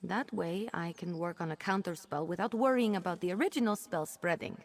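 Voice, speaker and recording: A woman voicing an earnest, chatty young female mage apprentice in a video game, expressive and a little exasperated. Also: lowish voice, fairly quick. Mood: earnest, concerned. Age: young adult. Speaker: quest-giving companion character. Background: game music.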